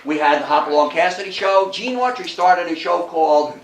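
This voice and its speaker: A man's voice: a little high, a little fast. Folksy older American man lecturing, roomy recording. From United States. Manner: folksy, emphatic storyteller addressing the audience as folks. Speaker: guest lecturer on old-time radio history. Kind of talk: informal public lecture with anecdotes. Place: indoor talk to a live audience at a historical society. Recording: roomy, distant mic with faint noise.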